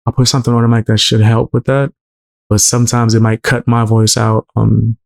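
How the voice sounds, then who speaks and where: Male streamer, low gravelly voice, relaxed chatty delivery. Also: fairly quick. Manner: low-toned, laid-back, chatty with viewers. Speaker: livestream host. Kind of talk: casual livestream chat.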